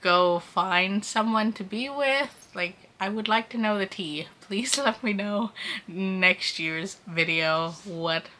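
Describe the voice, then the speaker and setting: A woman's voice: medium pitch, takes it slowly, bright, forceful tone, a little hiss or hum. A woman in her thirties chatting casually to camera in a vlog, giggly and filling her talk with "like". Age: 30s. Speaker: vlogger.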